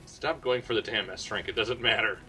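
A man's voice: lowish voice, a little fast, bright, forceful tone, husky. A man making casual, sarcastic remarks as a video game commentator.

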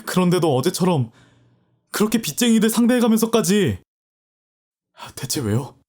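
A man's voice: sits high, big pitch swings, moderate pace, a little mellow. A young man playing a troubled university student, talking hesitantly with frequent stammers. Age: young adult. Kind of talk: audio drama acting.

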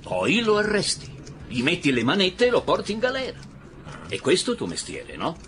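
An actor playing an authoritative, blustering police chief in an Italian radio drama, insistent and commanding. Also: male voice, high-pitched, huge pitch swings, normal speed, fairly bright tone, a little hiss or hum, full character voice.

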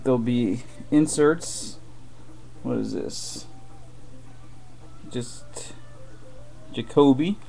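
Slow-talking man opening card packs. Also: medium pitch, creaky, a crowd in the background. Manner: slow, muttering play-by-play while opening packs. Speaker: card break host. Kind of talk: live card break commentary. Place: room with boxes on a desk. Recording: faint wrapper crinkling behind.

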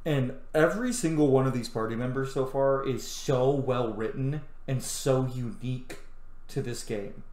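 A man's voice: medium pitch, moderate pace, a little mellow. A man, giving casual, enthusiastic, sweary commentary while streaming a game. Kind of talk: casual let's play commentary. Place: small room at home, indoors. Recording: roomy home mic over game music.

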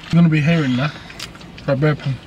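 A man's voice: a little high, steady tone, quick pace, highs cut off, background noise. A young man in a car answering his friend with short, dry remarks. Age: young adult. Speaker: passenger friend. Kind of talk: casual banter. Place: inside a car. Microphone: dashboard camera.

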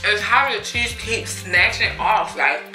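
A young American woman chatting casually and emphatically, with a sassy, expressive manner, as in a vlog storytime. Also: mid-range voice, unhurried, faint music behind. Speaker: vlogger. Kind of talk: casual storytime chat during a mukbang. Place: indoors in a small room at a table. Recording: echoey room.

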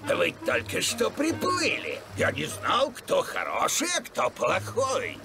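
A man playing an eccentric old guardian of an ancient city in a dubbed children's cartoon, gravelly and theatrical. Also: sits high, huge pitch swings, slow, exaggerated voice. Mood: sly, explaining. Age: older. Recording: loud music under the voice.

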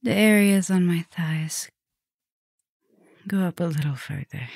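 Woman acting as female vampire professor, soft and teasing, low-pitched, unhurried, dominant yet affectionate, ASMR roleplay. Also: creaky, no room sound, clean studio sound. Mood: flirtatious, teasing.

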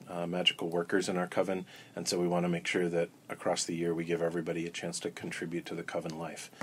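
A man guest in an interview, talking in a relaxed, rambling way with many ums and restarts. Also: low-pitched, slight background noise. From Florida. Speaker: interview guest, coven elder. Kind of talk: casual storytelling interview.

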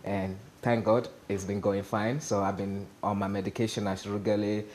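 A man's voice: lowish voice, moderate pace, slight background noise. A man with a Nigerian accent, a stand-up comedian talking casually as a guest in a TV studio interview. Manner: animated, gestures a lot, asks "you understand?". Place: TV studio set.

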